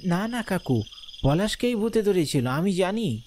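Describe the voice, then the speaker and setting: Horror cartoon role: wary, self-preserving young male friend, higher-pitched played by a man, faint night noise. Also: sing-song, unhurried, a little mellow. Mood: anxious insistence.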